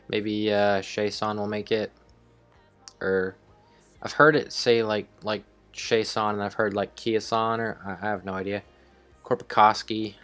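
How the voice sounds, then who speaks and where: A man hosting a video game series, thinking aloud in casual, rambling commentary with some offhand swearing. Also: lowish voice. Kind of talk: casual improvised gameplay commentary.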